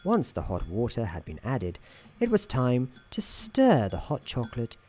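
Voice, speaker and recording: A man playing a soft-spoken, whimsical, gently patronising narrator in an ASMR roleplay, speaking in a measured, soothing way. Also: mid-range voice, wildly swooping pitch, breathy edge, exaggerated voice. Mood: soothing, droll. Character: droll, soothing male storybook narrator in an office worker's head.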